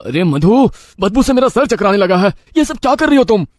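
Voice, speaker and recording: A man's voice: high voice, big pitch swings, fairly quick, background noise. A man playing a bossy, dismissive husband in a cartoon story, speaking brusquely. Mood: annoyed. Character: dismissive, demanding husband who loves spicy food.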